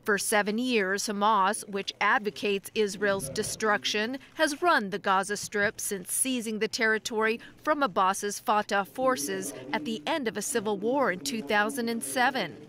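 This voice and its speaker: A woman's voice: mid-range voice, measured pace, few pauses, fairly bright tone. A woman narrating a scripted news report as a television reporter.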